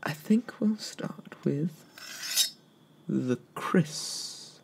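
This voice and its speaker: A man plays a courteous, eager weapons merchant in a soft ASMR roleplay. His voice is a very breathy whisper with a mellow tone and gravelly creak. He talks slowly and a little hesitantly, close to the microphone. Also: on the high side, expressive. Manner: slow, hesitant, courteous sales patter. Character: polite, eager male weapons merchant obsessed with swords. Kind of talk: softly spoken ASMR roleplay.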